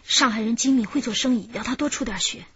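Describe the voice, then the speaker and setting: High-voiced, frightened female accomplice. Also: quick pace, breathy edge, no echo. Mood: subdued, confessing. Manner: tearful, pleading, shrill when upset. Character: frightened young female accomplice, pleading, desperate informant. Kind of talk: radio drama acting. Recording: slightly dull radio drama recording.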